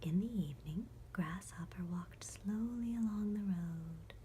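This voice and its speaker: A woman reading a bedtime story in a soothing, unhurried ASMR whisper. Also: fairly low pitch, slight background noise. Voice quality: soft whisper.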